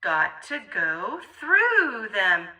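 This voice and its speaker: Very bright, animated woman reading to kids. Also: mid-range voice, recorded from a distance. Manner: sing-song, rhythmic, exaggerated expression with drawn-out words. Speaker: children's storybook reader. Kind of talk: theatrical read-aloud for young children.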